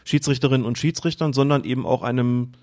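A man calmly explaining football rules in a casual podcast chat, hesitant and full of fillers. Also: mid-range voice, fast. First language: German. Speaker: referee rules expert guest.

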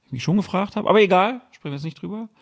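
Gravelly, chatty German podcast host. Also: male voice, sits high, expressive, racing. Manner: jokey, chatty, teasing. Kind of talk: casual podcast chat.

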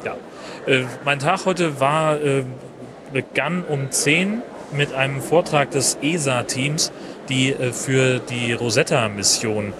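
A man hosting his podcast in a chatty, relaxed way with many fillers and restarts, recorded outdoors at a busy conference venue. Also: mid-range voice. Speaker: podcast host. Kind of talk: casual solo podcast recap. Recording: noisy outdoor background. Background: crowd and traffic ambience.

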